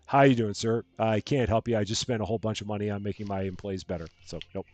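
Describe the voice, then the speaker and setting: A man with a calm, soothing voice, casually talking through a game on a live stream and thinking aloud as he plays. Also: lowish voice, fast. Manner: soft-spoken, rambling, thinking aloud.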